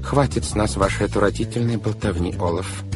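Stern, flustered male guardian, done by a man narrator: high-pushed exclamatory voice, pompous, audiobook drama over clear music. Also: sing-song, quick pace, close to an everyday voice. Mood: stern, indignant. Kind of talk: theatrical audiobook character acting.

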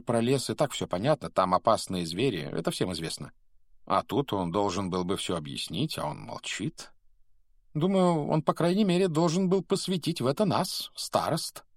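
A man's voice: mid-range voice, no room sound, clean studio sound, pitched above the performer's own voice. A man voicing an earnest, self-important teenage boy prefect in an audiobook, with a knowing, officious manner. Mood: puzzled, officious. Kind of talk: audiobook character voice.

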